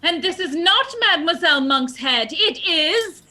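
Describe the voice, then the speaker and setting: A woman's voice: very high-pitched, lively intonation, measured pace, sharp tone, exaggerated voice. A woman acting as a self-assured, theatrical lady detective in a comic radio play, declaiming pompously. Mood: commanding, triumphant. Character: theatrical female amateur detective explaining a murder, self-assured. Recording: music behind the voice.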